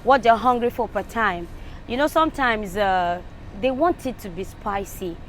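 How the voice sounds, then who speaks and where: A woman's voice: mid-range voice, moderate pace, slight background noise. A woman giving a casual opinion. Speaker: interview respondent.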